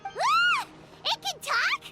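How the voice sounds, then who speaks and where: A woman voicing a small, childlike, excitable female fairy companion in a video game, squeaky and exclamatory. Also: drawn-out words, full character voice. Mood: startled, indignant. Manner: refers to self in third person, exclamatory. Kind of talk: video game character dialogue. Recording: background music under the voice.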